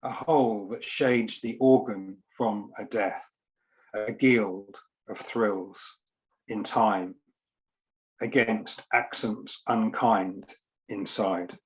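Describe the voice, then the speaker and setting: A man speaking as a poet at an online book launch, in short, measured phrases with frequent pauses. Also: fairly low pitch, fairly even intonation, poor audio. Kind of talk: informal explanation and poetry reading. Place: online event, on a video call.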